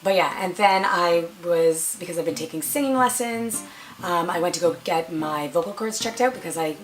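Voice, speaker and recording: A young woman with a raspy voice, chatting casually to camera as a vlogger and filling her stories with "like". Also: fairly low pitch, normal speed, faint music behind, poor audio. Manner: chatty, lots of "like" and quoted dialogue.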